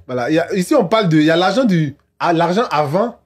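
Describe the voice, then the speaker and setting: A man's voice: fairly high pitch, animated, quick pace, slight background noise. A man from Côte d'Ivoire hosting a podcast, asking probing questions and giving frequent short agreements. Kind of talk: podcast interview.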